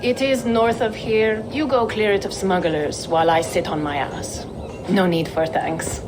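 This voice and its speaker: A woman's voice: medium pitch, fairly even intonation, unhurried, noisy background, close to an everyday voice. An actress playing a shrewd, wry woman trader in a Viking-age video game, speaking in an assured, businesslike way. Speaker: game character, merchant. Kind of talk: video game cutscene acting.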